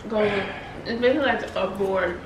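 A woman's voice: mid-range voice, fairly quick, noisy background. A young woman at a family dinner, giving her opinions with teasing asides. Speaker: family member. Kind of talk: casual family dinner chat. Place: dining room at home. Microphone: far camera across table. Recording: echoey room, distant mic, table clatter.

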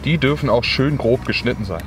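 A young man casually talking through cooking at his outdoor camp, relaxed and chatty with many filler words, recorded on a camera at arm's length. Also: mid-range voice, a little fast, over music. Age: young adult. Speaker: outdoor vlogger. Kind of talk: casual cooking vlog narration. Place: outdoors in a windy wood in rain. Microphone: camera mic at arm's length. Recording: outdoor wind and rain noise. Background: wind and rain.

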